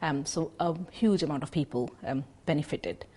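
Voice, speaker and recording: A woman speaking in a documentary interview, explaining the history and customs of a classical dance with frequent pauses and fillers. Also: deep voice, dark tone.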